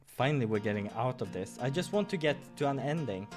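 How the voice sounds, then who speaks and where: Guy doing a casual game playthrough, muttering to himself, pitch moves around a lot, guitar music behind. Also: big pitch swings, brisk, a little mellow. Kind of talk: casual let's play commentary, reading dialogue aloud. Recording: background music.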